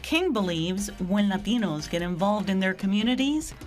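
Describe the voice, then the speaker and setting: A woman reporter narrating a news package in a steady, even voice-over. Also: medium pitch, normal speed, faint music behind.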